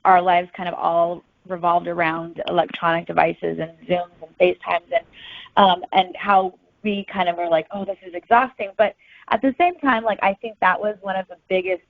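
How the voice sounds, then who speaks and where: A woman's voice: fairly low pitch, steady tone, moderate pace, no room sound. A woman guest answering interview questions in a casual, informal, rambling way. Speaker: podcast guest, novelist. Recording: tinny, phone-like call audio.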